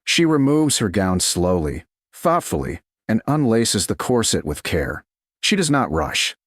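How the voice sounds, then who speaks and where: A man reading a romance story in a slow, measured narration style. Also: fairly low pitch, sing-song, no room sound, studio quality.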